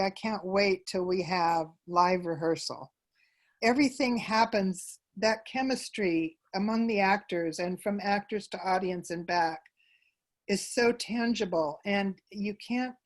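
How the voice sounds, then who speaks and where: A woman's voice: mid-range voice, expressive, a little slow. A woman giving conversational answers as an interview guest about her work in a theater troupe.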